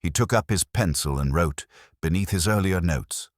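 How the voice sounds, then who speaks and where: A man reading a cosy literary mystery as a scripted audiobook narration, in a measured, dryly wry and understated manner. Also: low voice, no echo. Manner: dry, measured, wryly understated.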